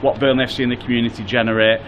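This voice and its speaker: Male executive interviewee, medium pitch, informative, faint background noise. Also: flat delivery, very fast. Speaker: business development executive being interviewed.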